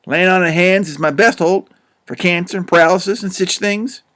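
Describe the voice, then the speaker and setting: A man's voice: sits high, fairly even intonation, moderate pace, sharp tone. A man doing a sly old bald con man. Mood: boastful. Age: older. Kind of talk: audiobook character voice.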